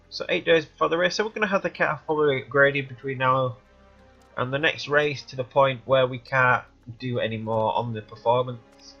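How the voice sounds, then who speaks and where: A man's voice: mid-range voice, bright, forceful tone. A man with a cold, sounding stuffy and sniffly, rambling through casual commentary as he plays a game. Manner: rambling, thinking aloud while playing. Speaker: let's play gamer. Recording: dull lo-fi recording, faint music behind.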